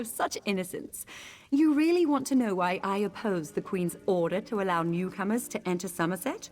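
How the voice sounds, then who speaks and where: An actress voicing a proud, imperious high elf noblewoman in a video game, formal and haughty. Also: close to an everyday voice. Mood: scornful, self-assured. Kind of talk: video game character acting.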